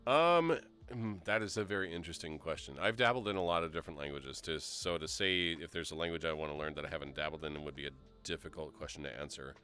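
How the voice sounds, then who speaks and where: A man hosting a casual live-stream talk show, reading viewer questions aloud in a relaxed, rambling way. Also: rumbling low, fast talker, faint music behind. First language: English. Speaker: show host.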